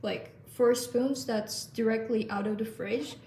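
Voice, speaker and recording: A woman's voice: a little high, measured pace, recorded from a distance, noisy background. Young woman, roomy kitchen. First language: Turkish. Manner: relaxed, chatty, many fillers. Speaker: vlogger. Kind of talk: casual baking vlog narration. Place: home kitchen.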